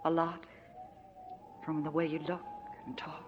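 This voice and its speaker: An actress playing an earnest, idealistic woman in 1940s radio drama, hushed and persuasive. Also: deep voice, little pitch movement, measured pace, over music. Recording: noisy, muffled 1940s radio recording.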